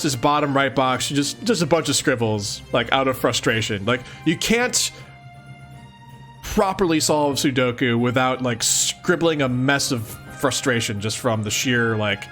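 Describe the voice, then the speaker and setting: A man with a fairly high voice and very lively intonation reads aloud and comments as he goes. His delivery is casual and amused, given to exclamations and playful sarcasm, with some music behind him. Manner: amused, exclaiming, often chuckling. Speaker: host of a let's-read series.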